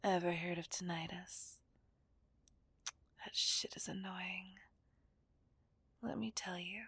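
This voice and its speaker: A woman's voice: on the low side, fairly even intonation, slow pace, a bit bright, breathy edge, dry recording, full character voice. A woman playing a dominant, taunting female villain in an ASMR roleplay, whispering breathily and possessively to a hostage. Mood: possessive, gloating.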